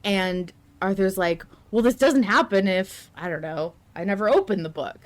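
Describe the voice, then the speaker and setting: A woman's voice: mid-range voice, normal speed. Woman in an emphatic, casual fan chat. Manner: animated, emphatic, repeats phrases for emphasis. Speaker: podcast host. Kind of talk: casual fan discussion of an audio drama.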